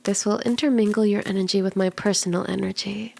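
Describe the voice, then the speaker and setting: A woman voicing a flirty, playful kitsune fortune teller in a soft, teasing, reassuring ASMR roleplay, slipping into breathy whispers in places. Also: mid-range voice, steady tone, fast. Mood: soft, mysterious. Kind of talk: ASMR roleplay, soft intimate tarot reading.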